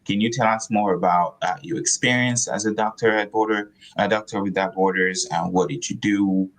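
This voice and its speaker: A man's voice: on the low side, fairly even intonation, moderate pace, fairly bright tone, low-quality recording. A man co-hosting a live online talk in a loose, chatty way with plenty of fillers. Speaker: co-host. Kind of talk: casual live-stream hosting.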